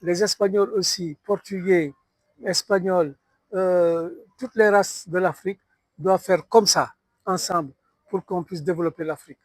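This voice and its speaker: High-voiced man from Senegal telling stories. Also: fairly even intonation, measured pace, no echo. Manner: storytelling, hesitant with fillers. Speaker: sculptor guest. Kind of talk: conversational interview.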